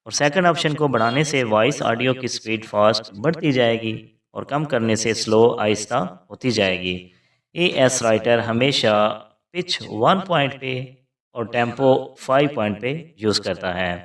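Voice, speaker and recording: A man's voice: medium pitch, a little slow, a bit bright, far from the mic. A man narrating a scripted tutorial as host, giving formal step-by-step instructions.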